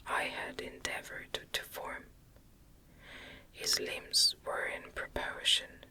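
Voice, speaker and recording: ASMR reader for gothic classic: whispers throughout, very slow and deliberate, soft delivery. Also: very high-pitched, low-quality recording. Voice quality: breathy, unvoiced whisper. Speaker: ASMR narrator reading a novel aloud. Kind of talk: whispered ASMR audiobook reading of gothic fiction.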